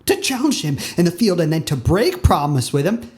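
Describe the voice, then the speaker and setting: An actor playing a foolish, timid and dithering knight, eager and excitable, in a Shakespearean audio play. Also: male voice, fairly high pitch, moderate pace. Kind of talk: theatrical Shakespearean audio drama acting.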